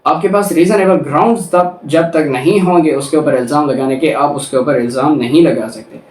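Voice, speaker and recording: A man's voice: a little high, a little fast, a little mellow. A Pakistani man giving a didactic law lecture, reading formal text and explaining it by rephrasing and repeating. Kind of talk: lecture, reading statutes in English and explaining them in Urdu. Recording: roomy echo, distant from the mic.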